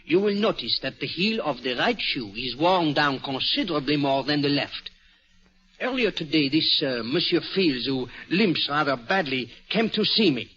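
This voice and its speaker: Courtly French-accented sleuth for vintage radio mystery; shrewd, precise, forceful and bright, on a muffled old recording. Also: male voice, on the high side, breathy edge, full character voice. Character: shrewd, courteous, self-assured Francophone male private detective. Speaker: actor playing the lead detective. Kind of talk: 1940s radio mystery acting.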